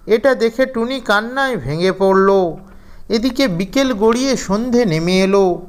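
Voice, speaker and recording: A man's voice: sits high, expressive, a little slow, clear, resonant tone. A man narrating a scripted children's fairy tale as storyteller.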